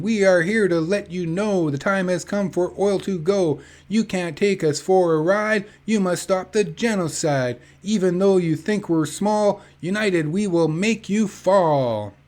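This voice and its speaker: A man's voice: high voice, measured pace, few pauses, a little mellow. A man reading a book aloud in an informal, chatty way with casual asides. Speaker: reader of an activist book.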